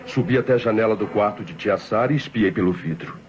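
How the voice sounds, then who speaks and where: Brazilian male actor as tense would-be killer narrating a radio thriller, formal and suspenseful, dull old recording, low pitch. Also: slight background noise, lightly acted. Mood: tense, stealthy. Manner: deliberate, suspenseful, formal storytelling. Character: nephew confessing his planned murder, tense and brooding. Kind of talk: 1960s radio mystery drama narration.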